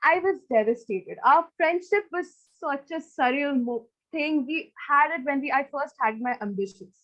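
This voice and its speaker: High-voiced young Indian woman, dull audio. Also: moderate pace, a bit bright. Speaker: storytelling contestant. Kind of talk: stand-up comic storytelling.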